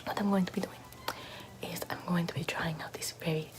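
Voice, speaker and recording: A woman's voice: fairly low pitch, steady tone, brisk, close to an everyday voice. A woman whispering as a vain, pompous aristocratic lady doing makeup in an ASMR roleplay, in a haughty, self-admiring, gossipy way.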